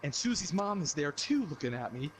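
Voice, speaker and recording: A young man tells a casual comic story in a fairly high voice. His delivery is animated, slangy and loose. The recording is informal, with noticeable noise behind him. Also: fairly quick. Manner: animated slangy retelling with swearing. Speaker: podcast storyteller. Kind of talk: casual comic personal anecdote.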